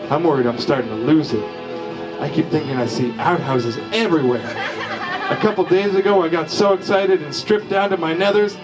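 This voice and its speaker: A man reading a comic first-person story aloud in a slow, folksy deadpan drawl at a live comedy show. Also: sits high, low-quality recording. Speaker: storyteller reading his father's short story.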